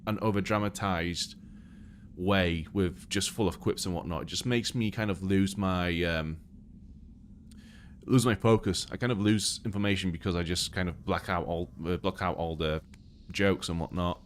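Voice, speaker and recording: Relaxed British-accented streamer, low voice. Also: male voice, moderate pace, slight background noise. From Poland. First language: Polish. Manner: relaxed, thinking aloud while playing. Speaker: game streamer. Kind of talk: casual live-stream gameplay commentary.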